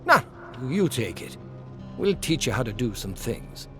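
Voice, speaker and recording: A man's voice: medium pitch, big pitch swings, measured pace. A man voicing a poor, resigned working-class father who talks in plain folksy dialect, in a game story. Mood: gently encouraging. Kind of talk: dramatized game lore narration. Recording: music under the voice.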